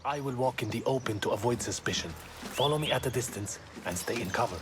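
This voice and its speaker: An actor playing a cautious steward and secret helper in a video game, guarded and urgent. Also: male voice, medium pitch, fast, background noise, close to an everyday voice.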